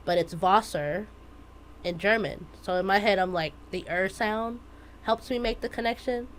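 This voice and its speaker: A woman in her twenties, a math teacher chatting casually as a guest, telling stories in an animated way. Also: mid-range voice, normal speed, a little hiss or hum, rough recording. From United States. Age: 20s. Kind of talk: casual interview chat.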